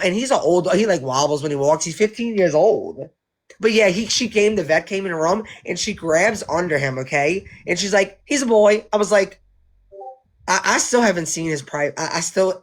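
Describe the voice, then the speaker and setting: Rambling woman on a livestream. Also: fairly low pitch. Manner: rambling, restarts sentences often. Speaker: livestream co-host. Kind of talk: casual livestream chat.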